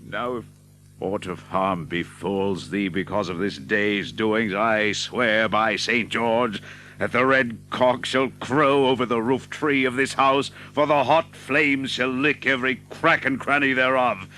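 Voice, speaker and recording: An actor playing a burly, fiercely loyal outlaw in an audio drama, with emphatic, blustering, old-fashioned speech. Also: male voice, medium pitch, steady tone, a little slow, bright, forceful tone, a little hiss or hum. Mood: fierce, vengeful. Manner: emphatic, blustering archaic speech with stammered repeats. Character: burly, fiercely loyal male outlaw, rough-hearted, emotional.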